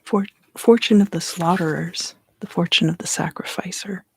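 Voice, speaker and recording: Female ASMR card reader, hushed and unhurried, low-pitched with creaky, breathy texture, frequent pauses. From US East Coast South. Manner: soft, unhurried, pausing often. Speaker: tarot reader and ASMR creator. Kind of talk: whispered ASMR card reading.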